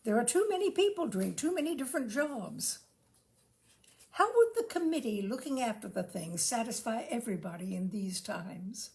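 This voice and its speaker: A woman giving an expressive, theatrical reading of a humorous essay, with measured phrasing and dramatic pauses. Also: fairly high pitch, vocal fry. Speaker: reader of literary humour.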